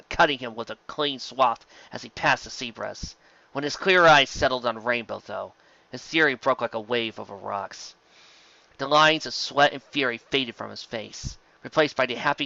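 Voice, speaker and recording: A man's voice: mid-range voice, vocal fry, bright, forceful tone, no echo, muffled recording. A man reading a fantasy story aloud as a narrator, in a dramatic scripted style. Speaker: audiobook narrator of fan fiction.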